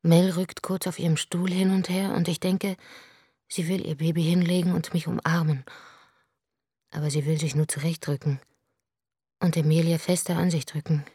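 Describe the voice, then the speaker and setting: Bitter grieving woman, deep, mellow, husky, dry studio sound. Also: little pitch movement, moderate pace, long pauses, no echo. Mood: wry. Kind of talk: radio drama monologue.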